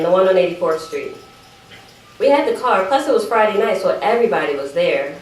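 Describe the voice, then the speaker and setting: A woman's voice: mid-range voice, a little mellow, lightly acted. A woman performing a dramatic monologue as an evasive teenage sister, chatty and apologetic as she pleads her case to her mother. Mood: sheepish, apologetic, then animated, insistent. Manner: defensive, apologetic, chatty digressions. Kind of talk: dramatic monologue read aloud at a live event. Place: live event room, recorded from a distance. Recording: roomy, distant microphone, faint background noise.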